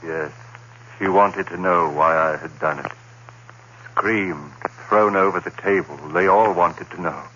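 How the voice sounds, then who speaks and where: An actor playing a troubled, haunted man in an old-time radio horror drama, with a brooding, halting delivery. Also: sits low, sharp tone. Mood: brooding dread. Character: troubled, haunted man narrating his own descent into dread. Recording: dull old radio recording with hiss and hum.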